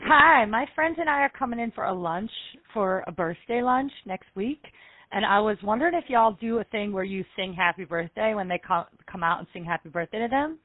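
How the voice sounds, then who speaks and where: A woman in her thirties making an improvised prank call, polite and deliberate. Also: medium pitch, expressive, dry recording, phone-quality sound. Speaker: prank caller on a comedy show. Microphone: headset microphone.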